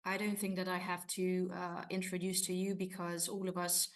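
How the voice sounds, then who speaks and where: A woman whose first language is Hungarian, giving a formal academic conference talk over a video call, halting often on fillers. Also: lowish voice, completely flat delivery. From Romania. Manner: hesitant, many fillers, formal phrasing. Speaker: conference presenter, associate professor. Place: university office, construction noise nearby.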